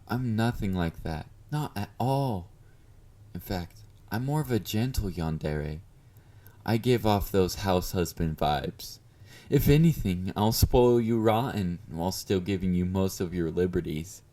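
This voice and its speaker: Slow, very low-voiced obsessive male admirer, acted by a man. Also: dark tone, close to an everyday voice. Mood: tender, clingy. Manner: doting, confident, coaxing with pet names. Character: obsessive yandere male fan, clingy, flirty, confident stalker. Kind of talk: intimate roleplay monologue addressed to the listener.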